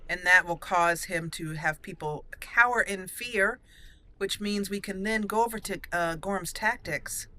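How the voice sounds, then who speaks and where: Bright-voiced woman hosting a game playthrough. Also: medium pitch, unhurried. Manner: playful, chatty, thinking aloud. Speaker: gaming channel host. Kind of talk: casual roleplay game commentary. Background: game music.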